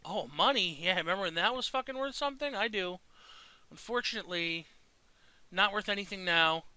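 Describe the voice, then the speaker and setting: A young man with a congested, stuffy voice, chatting casually and excitably as he comments on his own gameplay. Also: high voice, fairly quick, clean tone, dry recording. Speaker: gaming video host.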